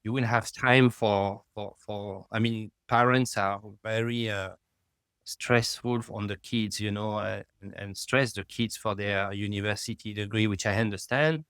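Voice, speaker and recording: A middle-aged man, speaking as a guest in a remote interview, halting and peppered with "you know.". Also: fairly low pitch, steady tone, fairly bright tone, clean tone, no room sound, studio quality. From France. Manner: halting, fills with "you know", rises in emphasis. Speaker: guest, lab founder.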